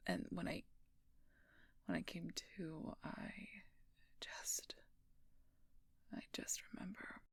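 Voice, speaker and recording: A very husky, slow-spoken female werewolf knight, acted by a woman, wounded yet dutiful and wryly self-sacrificing. Her low-set voice is a breathy, gravelly whisper, halting with pain and weariness, as in an intimate audio roleplay. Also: fairly bright tone, no room sound, exaggerated voice. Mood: pained, weary. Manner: halting, weary, pained delivery.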